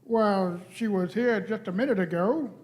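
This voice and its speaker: A man's voice: high-pitched, normal speed, natural voice, rough recording. A man playing a flustered young impostor bluffing as a police officer in a radio play, politely stammering. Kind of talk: live radio-play acting.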